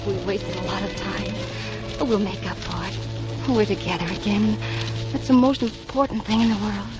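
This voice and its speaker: A woman's voice: medium pitch, moderate pace, lightly acted. An actress playing a lovelorn, wistful young woman in a 1940s radio drama, with a sentimental, earnest delivery. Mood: yearning. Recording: dull vintage radio recording, music behind.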